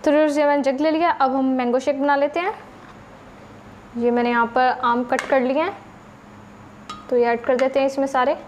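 High-voiced woman walking through a recipe. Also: fairly even intonation, normal speed, pauses often, a little hiss or hum. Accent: Pakistani Urdu. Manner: patient, explanatory, addresses viewers directly. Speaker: cooking channel host. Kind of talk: step-by-step recipe narration.

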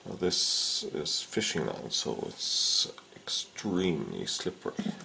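A man's voice: extremely low pitch, very animated, takes it slowly, gravelly, bright, forceful tone, slight background noise. A man giving a casual how-to demonstration of repairing a fishing rod, talking unhurriedly with frequent filler sounds as he works at an indoor bench. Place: indoors at a workbench.

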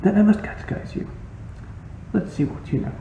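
A man reading a story and voicing a small priest in his sixties, brisk, questioning and officious. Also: mellow, natural voice. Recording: muffled phone-like sound, noisy, roomy echo.

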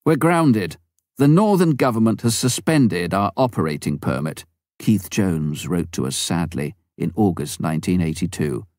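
A man with a very low voice reads a memoir as an audiobook, measured and earnest. The recording is close and dry, with no room sound. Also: lively intonation, darkish tone, dry recording. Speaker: audiobook reader. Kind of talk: first-person memoir narration.

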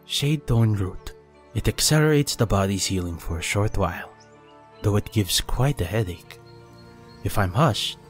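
Narrator as guarded male ninja, serious, measured, fairly low voice, fantasy audiobook, light music underneath. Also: lightly acted. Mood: calm, focused. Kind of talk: audiobook character dialogue.

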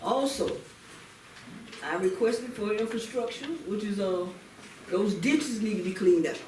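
A woman's voice: mid-range voice, measured pace, warm tone. A woman speaking as a council member at a public meeting, insistent and demanding, repeating her points. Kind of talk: formal public meeting discussion. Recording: echoey meeting room, distant mic, faint noise.

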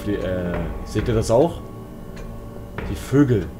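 Low-voiced man, casual horror game commentary. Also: animated, slow, music in the background. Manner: colloquial, joking, talks to himself and viewers. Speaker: let's player.

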